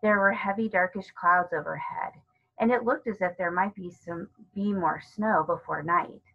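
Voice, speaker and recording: A woman narrating a children's novel as a read-aloud, with a husky voice. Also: lowish voice, moderate pace, phone-quality sound. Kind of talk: read-aloud storybook narration with character dialogue.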